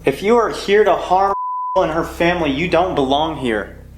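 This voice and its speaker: A man hosting a paranormal investigation, speaking tensely and urgently and calling out to a spirit in a dark house. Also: on the high side, moderate pace, noisy background. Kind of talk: ghost-hunting commentary. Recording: roomy echo, faint ambience.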